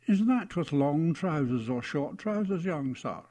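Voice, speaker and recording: Man putting on a high-pitched, deferential gentlemen's outfitter. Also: expressive, a little slow. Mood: polite, regretful. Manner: deferential, formal. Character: very round, politely formal menswear shopkeeper.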